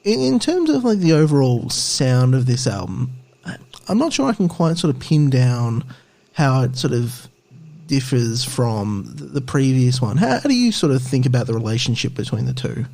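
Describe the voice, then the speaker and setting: A man hosting a podcast, with a voice at an ordinary middle pitch. He asks long, rambling questions and restarts his sentences as he goes. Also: very animated, moderate pace, gravelly, darkish tone. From Australia. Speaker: podcast host. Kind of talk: casual interview chat.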